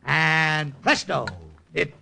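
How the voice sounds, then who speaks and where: An actor playing a brash, wisecracking American agent and magician in an old radio drama, with showy theatrical patter. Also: male voice, a little high, very slow, gravelly, sharp tone, slight background noise, heavily acted. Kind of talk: 1950s radio drama acting. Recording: old radio recording with faint music.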